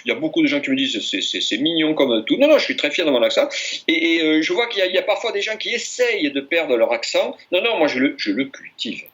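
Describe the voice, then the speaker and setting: A man's voice: fairly high pitch, fast talker. A man from Béarn with a singing southwest French accent, a guest author talking casually over a video call, rambling and jovial. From Béarn, southwest France. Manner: animated, rambling, self-interrupting, jovial.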